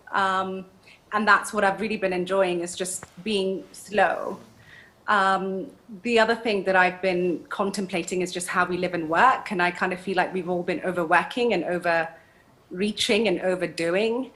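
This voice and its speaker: A woman's voice: medium pitch, a bit bright. Woman on a video call, reflective, casual chat full of fillers. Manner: reflective, with many "um"s and "like"s. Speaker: event participant.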